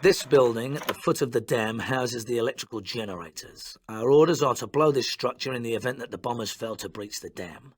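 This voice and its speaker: An actor playing a brisk, authoritative British army officer giving a clipped mission briefing in a war game. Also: male voice, medium pitch, breathy edge, natural voice. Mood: firm, businesslike. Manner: commanding, clipped, matter-of-fact.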